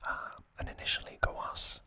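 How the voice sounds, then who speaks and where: An ASMR host whispering a recap in a breathy, unvoiced voice, hushed and pausing between short phrases. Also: dry recording.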